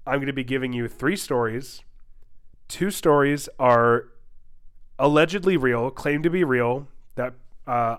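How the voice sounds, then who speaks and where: A man's voice: medium pitch, fairly bright tone. A young man from the United States hosting a casual podcast, stuffy-nosed from a cold and rambling through restarts. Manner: rambling, restarts sentences often. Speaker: podcast host.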